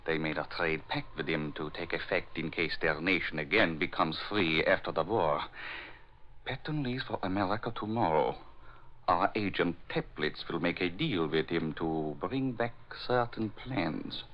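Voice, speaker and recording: An actor playing a resentful German butler who secretly spies, reporting in a clipped, conspiratorial way in a 1940s radio drama. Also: male voice, low voice, moderate pace, lightly acted. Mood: secretive. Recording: dull lo-fi old radio, faint noise.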